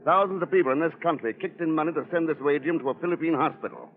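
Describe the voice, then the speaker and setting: An actor playing a cool, tough secret agent in a 1940s radio drama, dry and commanding. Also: male voice, on the high side, racing, natural voice. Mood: stern. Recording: tinny phone-like old radio recording.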